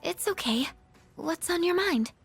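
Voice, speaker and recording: A woman voicing a shy, gentle and earnest teenage schoolgirl in a video game, speaking softly and hesitantly. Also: very high voice, animated, a little slow, faint music behind, heavily acted. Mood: hesitant, kind. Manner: soft-spoken, hesitant, apologetic. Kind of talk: English video game voice acting.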